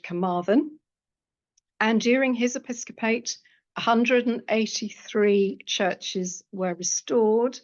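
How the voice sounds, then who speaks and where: A woman's voice: mid-range voice, dull audio. Woman reading an academic lecture paper. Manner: measured reading with dry asides. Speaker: historian giving a seminar paper. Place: lecture room at a college.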